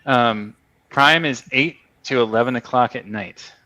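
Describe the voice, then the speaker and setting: A man's voice: medium pitch, unhurried, pushed and bright, low-quality recording. A man co-hosting a casual book-discussion podcast, explaining points matter-of-factly. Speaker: podcast co-host.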